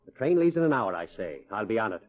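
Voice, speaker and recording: A man's voice: lowish voice, very animated, quick pace. An actor playing a stern, middle-aged wealthy rancher father, blunt and commanding, in 1940s radio drama style. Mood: brisk, scornful. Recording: muffled, husky old-time radio recording.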